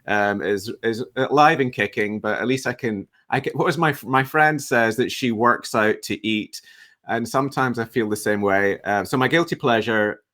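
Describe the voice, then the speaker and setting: A Scottish man in his fifties chatting as an interview guest, halting and reflective, with a self-deprecating manner. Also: mid-range voice, sharp tone. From west coast of Scotland. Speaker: guest, entrepreneur and coach. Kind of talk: casual interview chat.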